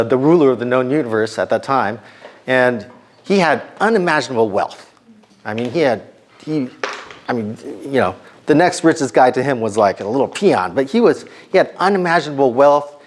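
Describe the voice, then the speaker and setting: A middle-aged man with a slightly hoarse voice, giving an informal sermon to students in a conversational way, with many ums and restarts. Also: medium pitch.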